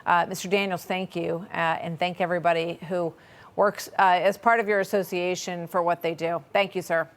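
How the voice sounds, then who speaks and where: A woman's voice: on the low side, fairly even intonation, brisk. A woman hosting a broadcast news interview, speaking in an earnest, serious manner. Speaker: news host interviewing a guest.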